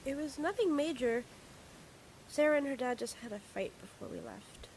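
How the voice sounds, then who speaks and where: A woman's voice: sits high, animated, normal speed, heavy background noise. A young woman playing a fragile but wry female psychiatric patient recalling a painful night. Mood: hesitant. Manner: quiet, hesitant, wry.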